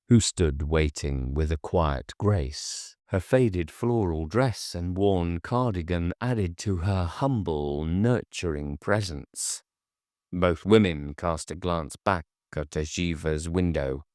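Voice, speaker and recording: A very deep male narrator reading a gothic tale slowly and deliberately. The sound is dry and close to the mic, with no room around it. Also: no room sound. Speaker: audiobook narrator. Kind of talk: scripted narration.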